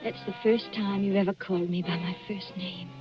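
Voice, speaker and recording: An actress playing an anxious, defensive wife in an old radio suspense drama, speaking in short, dramatic replies. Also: female voice, medium pitch, steady tone, fairly quick, over music, lightly acted. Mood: reserved. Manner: tense, dramatic, clipped replies. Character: anxious, defensive wife cornered by her husband. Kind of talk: 1940s radio suspense acting. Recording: muffled old radio recording.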